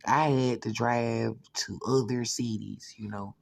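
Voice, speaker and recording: A man in his thirties talking in a rambling personal monologue, filling every pause with "you know.". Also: medium pitch, steady tone, creaky. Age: 30s.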